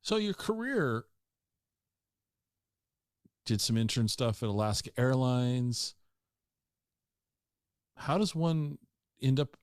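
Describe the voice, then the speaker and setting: A man's voice: medium pitch, expressive, slow pace, pauses often, smooth, no echo, clean studio sound. A man hosting a podcast interview, chatting casually and enthusiastically. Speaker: podcast host.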